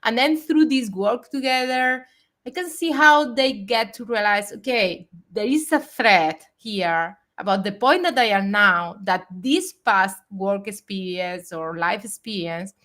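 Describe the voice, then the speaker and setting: A woman's voice: medium pitch, measured pace, a bit bright. A woman in her forties hosting a live video chat, speaking in a reflective, earnest way with frequent fillers. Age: 40s. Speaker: host.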